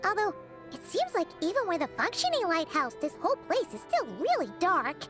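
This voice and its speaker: Squeaky, very high cartoon girl. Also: wildly swooping pitch, fairly quick, exaggerated voice. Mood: cheerful, matter-of-fact. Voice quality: squeaky, childlike. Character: small excitable girl, chirpy cartoon companion and child inventor. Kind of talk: video game cutscene voice acting. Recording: music behind the dialogue.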